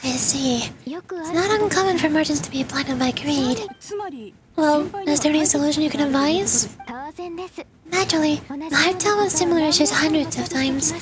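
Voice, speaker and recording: A woman reading the part of a calm, dutiful young secretary in a polite, composed manner. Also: high voice, moderate pace, crowd noise, close to an everyday voice. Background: game music.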